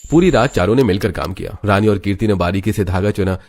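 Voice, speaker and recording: Low-voiced male story narrator. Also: quick pace, a little mellow. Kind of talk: scripted narration of a cartoon family drama.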